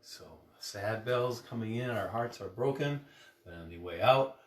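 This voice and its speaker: Priest guy hosting, chatty simple explaining, echoey room, bit far from mic, music loud behind. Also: fairly low pitch, animated, measured pace, heavy background noise. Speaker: priest, storytime host. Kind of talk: informal conversational teaching. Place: inside a church, roomy.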